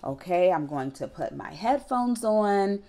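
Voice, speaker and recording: A woman in her thirties giving a casual, conversational tutorial with colloquial, animated delivery into a close desktop mic. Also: mid-range voice, a little slow. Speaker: radio personality giving a production tutorial. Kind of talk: casual instructional talk. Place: small room at home, indoors. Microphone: desktop USB condenser mic, close.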